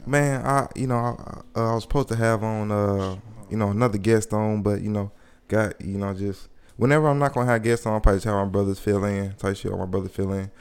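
A young man with a Southern US accent hosting a relaxed podcast. His voice sits fairly low, and he talks in loose slang with frequent restarts. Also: smooth. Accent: Southern US, Atlanta area. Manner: laid-back slang, halting restarts. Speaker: podcast host. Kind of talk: casual chat.

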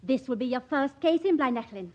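A woman's voice: high-pitched, lively intonation, fairly quick. An actress playing a chatty, motherly, middle-aged doctor's wife in a vintage radio play, bustling and effusive. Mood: chatty, reassuring. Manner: bustling, effusive, folksy speech. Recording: dull old radio broadcast recording.

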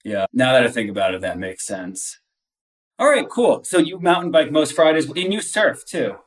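A man's voice: mid-range voice, very animated, moderate pace, recorded from a distance. A man hosting a podcast in a casual, chummy interview style. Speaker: podcast host.

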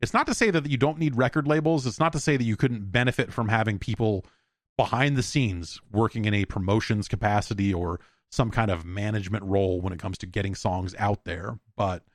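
An American man hosting a podcast alone in a casual, rambling, halting way, thinking aloud and speaking bluntly. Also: lowish voice, no echo, clean studio sound. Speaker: podcast host. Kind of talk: casual solo podcast talk, reading listener emails.

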